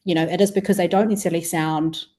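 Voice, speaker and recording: Lower-pitched young New Zealand woman. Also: fairly even intonation, racing, warm tone. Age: 20s. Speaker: podcast guest, food entrepreneur. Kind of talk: casual interview chat.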